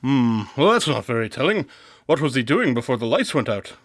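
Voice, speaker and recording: An actor playing an aloof, methodical detective in a radio drama, with a dry, pompous, clipped manner. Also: male voice, mid-range voice, big pitch swings, fairly quick, fairly bright tone. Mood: dry deduction. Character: aloof, methodical male detective in the Sherlock Holmes mould.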